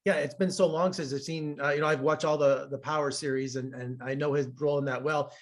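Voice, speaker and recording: A man from Las Vegas, a film critic, interviewing an actor. He talks casually and warmly praises the cast, with many fillers. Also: fairly high pitch, steady tone, brisk. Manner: effusive and complimentary, with fillers.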